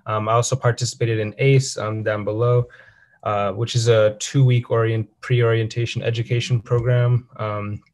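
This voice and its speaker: A young man introducing himself on a student panel over a video call, steady and even with frequent fillers. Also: medium pitch, normal speed. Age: young adult. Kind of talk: casual self-introduction.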